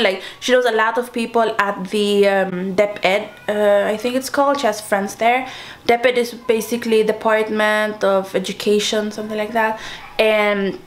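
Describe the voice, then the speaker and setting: A young woman raised in Sweden chatting casually to her viewers, laughing often and filling her story with likes and restarts. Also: medium pitch. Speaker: vlogger. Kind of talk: casual storytelling mukbang vlog. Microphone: camera-mounted shotgun mic.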